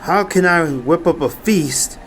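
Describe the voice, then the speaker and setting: A man plays a skeptical ship's cook in a pirate audio drama. The voice sits a little high, fussy and practical, over faint background noise. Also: a little slow, lightly acted. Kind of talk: fan audio drama acting.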